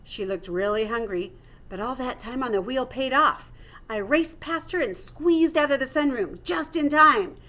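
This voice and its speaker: A woman plays a little male hamster diarist from a children's storybook. The voice sits fairly high. He is plucky and dramatic, telling his tale with earnest, theatrical expression. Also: moderate pace, pushed and bright. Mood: indignant, nervous. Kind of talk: storybook read-aloud in first person.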